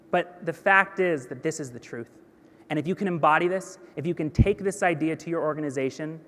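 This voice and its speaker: A man in his thirties speaks from a large auditorium stage into a headset mic, his slightly high voice carrying a long hall reverb. He delivers a business keynote in an energetic, conversational way, dropping in self-deprecating asides. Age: 30s.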